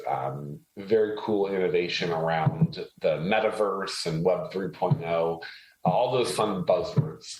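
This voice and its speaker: A man from Haiti speaking as an interview guest, explaining things conversationally with measured self-corrections. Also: sits low. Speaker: interview guest, tech entrepreneur. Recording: roomy, echoey sound.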